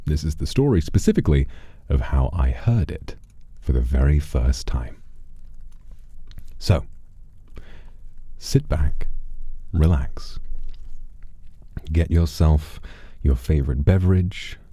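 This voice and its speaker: A man telling a first-person story to a livestream audience in a theatrical, deliberate way, with dramatic pauses. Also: very deep voice, lively intonation, dark tone, breathy edge, dry recording.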